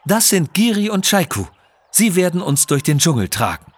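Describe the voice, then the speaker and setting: A man plays a brave young Indian guide from a children's audio adventure. The voice is raised and a little husky, with an earnest, pleading manner. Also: high-pitched, very animated, normal speed, dry recording, pitched above the performer's own voice, full character voice. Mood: eager.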